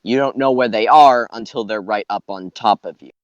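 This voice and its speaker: A man's voice: fairly low pitch, normal speed, no room sound, muffled recording. A man chatting casually as a podcast host, posing questions to a group and often repeating his phrases. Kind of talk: casual group chat, posing would-you-rather questions.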